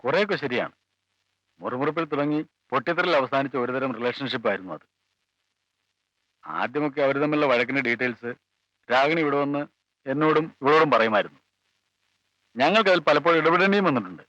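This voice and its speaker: A family friend, explaining in a steady, bright, fast voice. The sound is dull and a little lo-fi, dry as an old film track. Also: male voice, mid-range voice, no room sound. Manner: calm, explanatory. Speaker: family friend recounting events.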